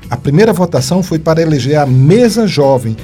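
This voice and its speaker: A man's voice: fairly high pitch, animated, a little fast, dark tone, soft background music. A man narrating a scripted news feature.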